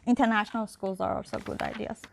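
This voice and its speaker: A woman's voice: medium pitch, fairly even intonation, quick pace, creaky, slight background noise. A young woman candidate answering interview questions in English, not her first language, with many fillers and restarts. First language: not Icelandic. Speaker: election candidate.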